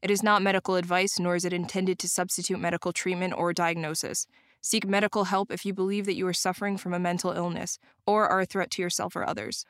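Fast, smooth female disclaimer voice. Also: fairly low pitch, flat delivery, a bit bright, dry recording, studio quality. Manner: smooth, fast formal reading. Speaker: announcer. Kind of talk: read disclaimer.

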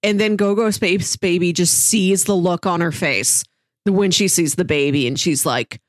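A woman's voice: fairly low pitch, normal speed, no room sound. A woman co-hosting a podcast, chatting casually about a story. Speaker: podcast co-host. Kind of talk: casual chat about a comic series.

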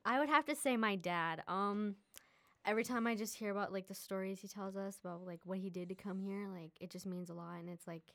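A teenage girl on a school podcast, a student guest. She sounds a little nervous and halting, and she fills her pauses with fillers. Her voice sits at a middle pitch. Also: fairly bright tone. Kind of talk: casual podcast chat.

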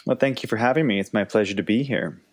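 A man, a pharmacist guest giving measured explanations in an interview. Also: lowish voice, no room sound, clean studio sound. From Canada.

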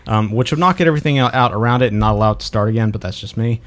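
A man's voice: lowish voice, very fast, a bit bright. Casual male podcast film reviewer. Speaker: podcast host reviewing films.

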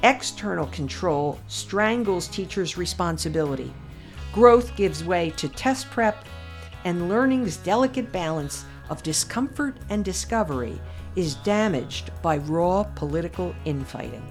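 A woman commentator reading her own essay aloud in a measured, deliberate way with emphatic pauses. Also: fairly low pitch, animated, faint music behind. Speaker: podcast commentator.

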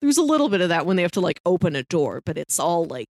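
A woman's voice: lowish voice, very animated, fast, darkish tone. A woman co-hosting a casual film discussion, thinking aloud in looping phrases. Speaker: podcast co-host discussing films.

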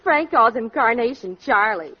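An actress playing an amused, sensible young married daughter in old-time radio comedy style. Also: female voice, fairly high pitch, lively intonation, moderate pace, breathy edge. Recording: muffled old radio broadcast recording.

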